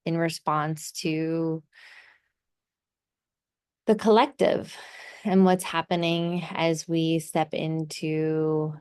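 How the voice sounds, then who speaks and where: A woman leading a guided meditation in a soothing, gently instructive way, pausing between short phrases. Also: sits low, flat delivery, clear, resonant tone.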